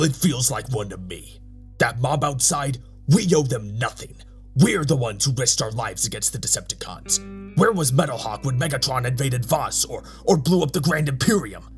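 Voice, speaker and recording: An actor playing a hard-nosed, pragmatic robot officer with a clipped, insistent, bitter manner. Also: male voice, medium pitch, lightly acted.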